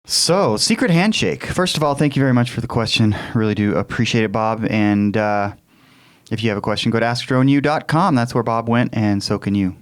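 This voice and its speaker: A man's voice: mid-range voice, brisk. A man hosting a podcast in a casual, conversational style, close on a studio microphone. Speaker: podcast host. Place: small wood-panelled podcast room. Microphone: close studio mic on boom arm.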